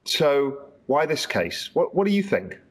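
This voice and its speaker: Man in his fifties, interview host on a webcam; husky, fairly high voice with lots of pitch movement, loose and conversational. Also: unhurried. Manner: lively, rambling, conversational. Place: large room at home. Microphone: video call webcam.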